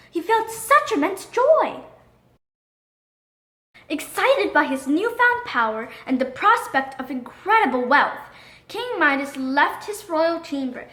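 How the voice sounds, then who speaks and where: Female narrator for children's storybook, high voice, very expressive and dramatic, recorded in an echoey room. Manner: expressive, dramatic read-aloud delivery. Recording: roomy echo.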